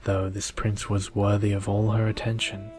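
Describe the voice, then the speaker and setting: A man reading a bedtime story in a soft, hushed, gentle and measured ASMR style. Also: lowish voice, completely flat delivery, faint music behind.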